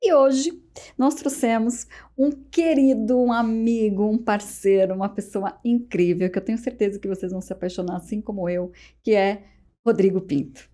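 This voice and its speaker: A woman's voice: medium pitch, steady tone, moderate pace, dark tone. A woman with a Brazilian accent hosting a casual conversational podcast interview in an effusive, chatty way. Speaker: podcast host.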